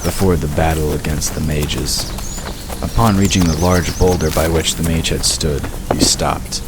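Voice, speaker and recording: A man's voice: rumbling low, fairly even intonation, normal speed. A man giving a scripted narration of a fantasy audiobook. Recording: noisy, birdsong and crickets behind. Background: birdsong, crickets, outdoor ambience.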